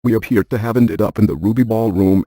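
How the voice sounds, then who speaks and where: An actor playing a brainy, cautious paranormal scientist in a video game, speaking in a deadpan, precise, technical manner. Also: male voice, fairly low pitch, fast, no room sound, close to an everyday voice. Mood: matter-of-fact. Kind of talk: scripted video game character lines.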